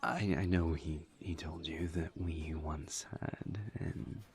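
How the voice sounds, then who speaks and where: Man voicing male incubus demon, audio roleplay, earnest, awkwardly polite, soft breathy delivery, subdued flat intonation, very slow halting pace. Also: extremely low pitch. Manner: hesitant, halting, formal wording, soft-spoken and breathy. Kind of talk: intimate scripted audio roleplay.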